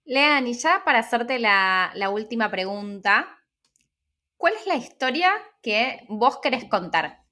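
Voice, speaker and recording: An Argentine woman hosting a podcast interview in an informal, conversational way, halting and repeating words as she goes. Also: fairly high pitch, animated, pushed and bright.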